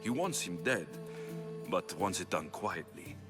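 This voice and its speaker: An actor playing a confident, wry mercenary warrior hero, blunt and dry, in a video game cutscene. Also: male voice, deep voice, animated, unhurried, music in the background. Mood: firm, matter-of-fact.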